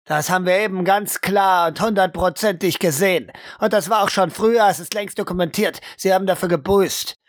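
A woman's voice: fairly low pitch, dry recording, studio quality, close to an everyday voice. A woman playing a stern psychologist who confronts a criminal bluntly and insistently in an audio role-play.

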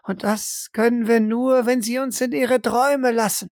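A woman's voice: on the high side, steady tone, moderate pace, creaky, a little mellow, no echo, clean studio sound, voice pushed up. A woman playing a frustrated interrogator in an audio roleplay, terse and exasperated. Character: frustrated interrogator probing a prisoner's dreams.

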